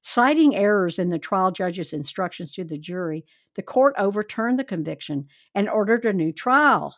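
Woman, true crime podcast host, chatty and wry, mid pitch, dry close sound. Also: expressive, normal speed, fairly bright tone, no echo.

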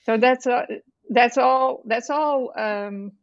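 Slow dutch-accented woman in her 50s, lots of ums and restarts, dry dull-ish sound. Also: on the high side, no echo. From Netherlands. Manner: halting, many fillers and restarts. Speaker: author guest. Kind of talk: casual interview chat.

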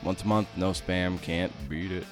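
A man's voice: deep voice, fairly even intonation, normal speed, faint music behind. A male podcast host, worn out after a night shift, talking in a loose, jokey way with many restarts. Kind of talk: casual podcast interview and outro.

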